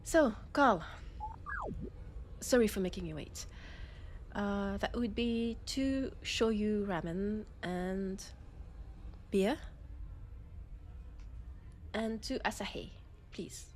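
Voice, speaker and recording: A woman voicing a young space miner with a French accent in a sci-fi audio roleplay, talking with her best friend. She speaks slowly and hesitantly, often trailing off into pauses. Her pitch sits in an ordinary middle range, and a faint ambient hum runs underneath. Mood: apologetic.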